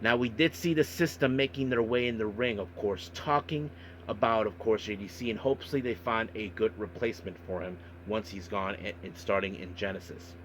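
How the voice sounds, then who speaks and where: A man hosting a podcast monologue, recapping and commenting on events in a halting way with repeated filler phrases. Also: on the low side, fairly bright tone, a little hiss or hum, low-quality recording. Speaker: wrestling podcast host.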